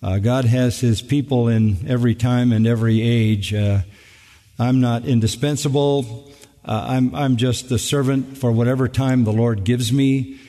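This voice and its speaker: An older man, a pastor, answers questions from the congregation at a measured pace. He talks in a thoughtful way and adds touches of humor. His voice carries a long reverb from a large church hall. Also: medium pitch, fairly even intonation, dark tone. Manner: measured, reflective, with light humor. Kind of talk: live Q&A answers.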